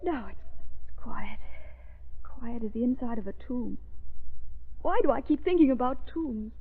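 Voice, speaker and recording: An actress playing a brave but frightened young woman in a 1930s radio thriller, with halting, dramatic delivery. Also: fairly high pitch, lively intonation. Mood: uneasy. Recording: muffled, noisy 1930s radio recording.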